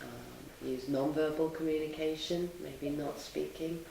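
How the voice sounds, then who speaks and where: A woman in her thirties, a teacher, giving calm, measured answers in an interview. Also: low-pitched, vocal fry, a crowd in the background. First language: English. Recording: echoey room, mic at a distance.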